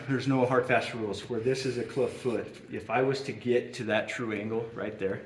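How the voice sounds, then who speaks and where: A middle-aged man working as a farrier, patiently explaining a hoof trim while he works bent over a horse's foot in a barn. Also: lowish voice. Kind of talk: hands-on trimming demonstration. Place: roomy barn with concrete floor. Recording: echoey barn.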